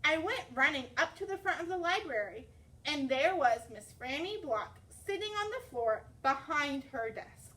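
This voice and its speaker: A woman reading a children's story aloud as a teacher, expressive and deliberate. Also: high-pitched, distant mic, slight background noise, rough recording.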